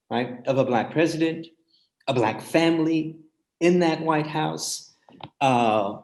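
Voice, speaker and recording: A man's voice: a little high, reverberant, rough recording. A man speaking as a guest scholar in a panel talk, making emphatic, thoughtful points and often checking them with a short tag question.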